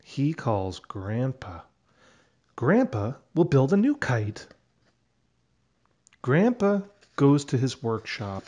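A man narrating a children's storybook aloud in an expressive, deliberate way. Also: medium pitch.

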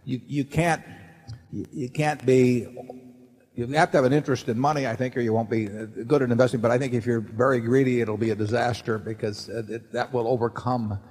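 A man's voice: medium pitch, steady tone, fairly quick, darkish tone. An older man seated at a table on a large stage, answering shareholders' questions off the cuff in a folksy, rambling way with many restarts. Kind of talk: unscripted answer at a shareholder meeting. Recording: long hall reverb from the PA.

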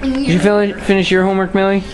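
A woman's voice: medium pitch, quick pace. A young woman chatting casually and teasingly while she films friends. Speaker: person filming a home video. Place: large wooden barn room, handheld camera. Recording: noisy room full of overlapping chatter.

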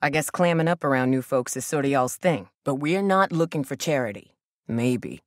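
A woman's voice: extremely low pitch, lively intonation, normal speed, dry recording. A woman voice actor playing a guarded, blunt, protective young survivor of a zombie apocalypse in a video game, with a dry delivery. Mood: guarded, wary.

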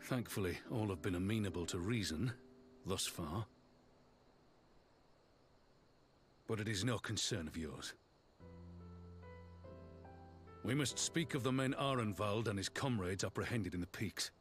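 An actor playing a stern, weary, middle-aged warrior general in a video game, speaking formally and with measured gravity. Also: male voice, on the low side, lively intonation, soft background music, close to an everyday voice. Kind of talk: scripted video game dialogue.

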